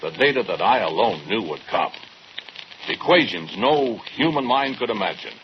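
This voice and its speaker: A man's voice: lowish voice, lively intonation, moderate pace, close to an everyday voice. A man playing a proud, coldly rational scientist in a 1940s radio horror drama, speaking in a pedantic, declamatory way. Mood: exultant. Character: proud, obsessive male scientist, coldly rational, defensive doctor. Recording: noisy, muffled old radio drama recording.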